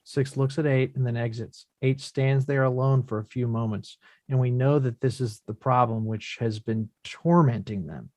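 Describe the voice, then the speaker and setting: A man's voice: medium pitch, fairly even intonation, normal speed, a little mellow, no echo. Man narrating, close and dry. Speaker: stage directions reader. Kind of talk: scripted narration at a table read.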